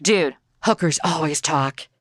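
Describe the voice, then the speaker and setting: A woman's voice: deep voice, unhurried, fairly bright tone, dry recording, studio quality. A woman playing a flippant, sarcastic young American tourist with slangy modern delivery in a comedy audio drama.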